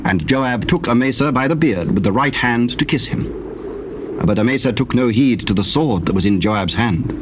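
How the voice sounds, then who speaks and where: A man giving a solemn, measured scripted narration of dramatized scripture. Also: mid-range voice, lively intonation. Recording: noisy cassette tape recording.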